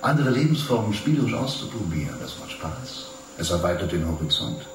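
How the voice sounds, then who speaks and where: Smooth friendly politician type in a radio play, lowish voice, bit far off in a roomy space. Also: male voice, very animated, brisk. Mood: earnest, persuasive. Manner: smooth, politician-like, gently teasing. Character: smooth, amiable, evasive greying man, a city official. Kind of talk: radio drama dialogue. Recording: roomy, distant sound with faint noise.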